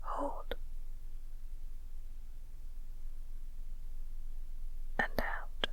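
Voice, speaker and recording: A woman playing a flirty, caring coworker in an intimate audio roleplay, speaking softly and gently in a breathy, reassuring way. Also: slow. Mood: soothing. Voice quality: soft, breathy, whispery.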